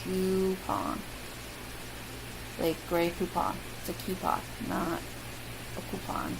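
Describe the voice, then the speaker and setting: A woman's voice: fairly low pitch, slow pace, vocal fry. A young woman with a Midwestern American accent, chatting casually through a word list with lots of ums and self-deprecating asides. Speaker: vlogger. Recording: loud steady hiss.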